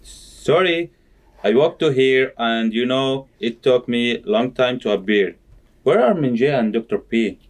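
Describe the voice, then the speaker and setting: Easygoing puppet buddy a man reading: medium pitch, careful learner-like amateur dialogue. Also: expressive, measured pace, natural voice. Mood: questioning. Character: easygoing male friend in a puppet skit. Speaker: amateur puppet show performer.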